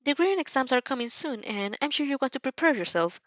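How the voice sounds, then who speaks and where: A woman's voice: high-pitched, very animated, quick pace, fairly bright tone, dry recording, pitched above the performer's own voice. A woman voicing a polite, earnest teenage schoolgirl with a courteous, eager manner in an audio fan fiction.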